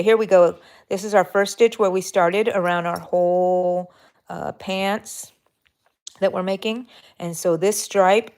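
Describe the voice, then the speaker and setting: A woman from Texas hosting a casual live crochet stream from her bedroom, chatty and thinking aloud as she works and talking to her yarn. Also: on the low side. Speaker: crochet channel host. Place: bedroom at home.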